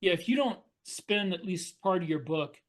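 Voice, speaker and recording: A man explaining and telling stories casually as a podcast co-host, halting at times as he works out his points. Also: sits high, lively intonation. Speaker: podcast co-host and fiction author. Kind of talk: casual storytelling and discussion.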